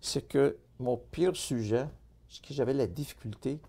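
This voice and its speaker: A middle-aged man tells his life story in an interview, earnest and unpolished, stumbling over fillers. His voice is gravelly and dark, with a soft, mellow tone. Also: mid-range voice, a little slow. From born in Italy, raised in Montreal. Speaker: political candidate. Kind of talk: casual interview conversation.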